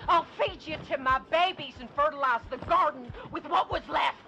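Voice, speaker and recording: A woman's voice: high voice, moderate pace, sharp tone, highs cut off, slight background noise. An actress voicing a deranged motherly woman who screams threats in a game cutscene. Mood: menacing rage. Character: deranged motherly woman, menacing family matriarch.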